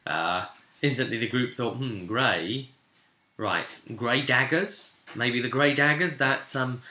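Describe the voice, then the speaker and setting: Male game master, very bright forceful voice, chatty rambling storyteller, roomy echoing recording. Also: mid-range voice. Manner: rambling, conversational asides. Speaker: game master recapping a tabletop role-playing session. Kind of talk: casual spoken story recap, vlog.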